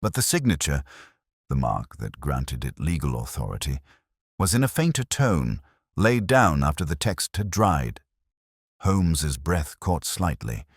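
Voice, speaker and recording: A man narrating a Victorian detective story in a measured, dramatic storytelling manner. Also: very deep voice, very animated, slightly rough, no room sound, clean studio sound. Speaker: audiobook narrator.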